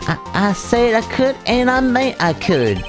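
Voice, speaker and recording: A woman voicing an excitable young female witness from a video game, flustered, halting and defensive. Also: fairly high pitch, over music.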